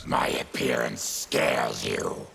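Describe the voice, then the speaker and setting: A man voicing a bitter, proud mutant warrior in a fighting game, with a gravelly growl and a slow, menacing delivery. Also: very deep voice, lively intonation, a bit bright, exaggerated voice. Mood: menacing, resentful. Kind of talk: fighting game character dialogue.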